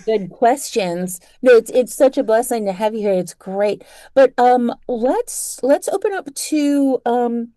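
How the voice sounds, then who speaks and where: A woman's voice: on the high side, lively intonation, measured pace, few pauses, dark tone, no echo. A woman casually hosting and moderating an online workshop. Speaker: workshop host.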